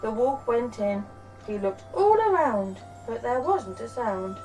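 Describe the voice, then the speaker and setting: A woman hosting and narrating a children's bedtime story in an expressive, deliberate, dramatic way. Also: a little high, far from the mic, music in the background, rough recording.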